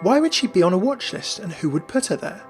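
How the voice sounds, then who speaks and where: A man's voice: sits high, sing-song, quick pace. A man hosting and narrating a scripted game lore commentary. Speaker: video host and narrator. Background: music.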